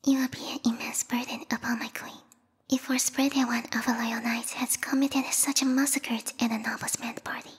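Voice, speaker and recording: A woman voicing a formal, haughty bat girl who serves a vampire queen, speaking in a breathy, whispery ASMR roleplay style close to the microphone, politely menacing. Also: a little high, level tone, normal speed, big-room sound. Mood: scheming. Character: yandere bat monster girl, devoted servant of vampire queen. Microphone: close binaural microphone.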